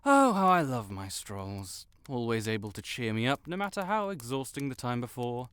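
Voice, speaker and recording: A man acting as a theatrical, haughty wizard, swooping pitch. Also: sing-song, moderate pace, a bit bright, smooth, no room sound. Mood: boastful. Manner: pompous, theatrical, haughty, flustered outbursts. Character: vain, stuck-up tsundere young male wizard, secretly smitten. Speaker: voice actor. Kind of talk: scripted roleplay audio drama monologue.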